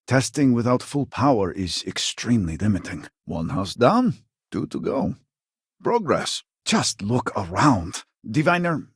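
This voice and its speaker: A man's voice: fairly low pitch, creaky, slightly rough, dry recording, studio quality, heavily acted. An actor playing an elderly, proud and impatient male scientist in a video game, speaking in a formal, theatrical way. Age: older.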